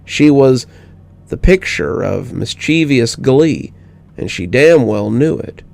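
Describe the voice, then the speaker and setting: A man narrating a scripted first-person horror story in a measured storytelling manner. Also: mid-range voice, animated, creaky, a little mellow.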